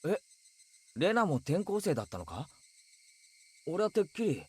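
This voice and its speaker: Husky, animated anime schoolboy. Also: male voice, a little high, a little fast, heavily acted. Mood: curious, probing. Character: teenage anime schoolboy, casual, curious, blunt. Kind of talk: Japanese visual novel voice acting.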